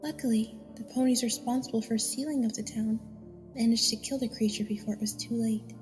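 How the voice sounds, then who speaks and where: Woman playing a ghostly young pony girl, solemn, even-toned, soft dark timbre, long reverb, music behind. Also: fairly high pitch, natural voice. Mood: grave, explaining. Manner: solemn, measured storytelling. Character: ghostly young filly, solemn victim of an epidemic.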